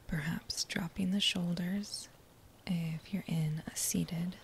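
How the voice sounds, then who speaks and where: A woman guiding a body scan meditation in a soft, breathy near-whisper, with a gentle, soothing manner and unhurried phrases. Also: on the low side, steady tone. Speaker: meditation guide. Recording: faint background noise.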